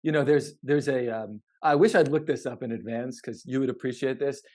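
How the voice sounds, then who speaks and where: Male podcast co-host, medium pitch, informal and thoughtful, halting conversational delivery. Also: a little mellow. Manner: halting, repeats words as he thinks. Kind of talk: casual, thoughtful chat.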